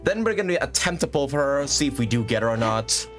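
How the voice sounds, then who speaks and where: A man's voice: medium pitch, expressive, quick pace, a bit bright, soft background music. A man hosting a livestream with casual, rambling gaming commentary and frequent chatty asides. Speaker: livestream host.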